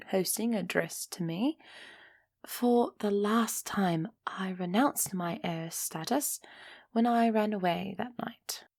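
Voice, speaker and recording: A woman's voice: medium pitch, darkish tone, no echo, clean studio sound. A woman playing a young runaway elf heiress in an intimate roleplay audio drama, defiant yet anxious and affectionate, often sighing and sinking into whispers. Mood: defiant, angry. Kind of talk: roleplay ASMR audio drama, close and breathy.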